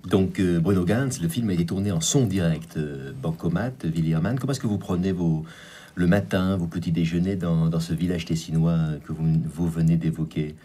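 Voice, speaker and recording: Low, mellow-voiced radio interviewer. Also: male voice, moderate pace, breathy edge. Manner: long, winding, formal questions. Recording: radio studio.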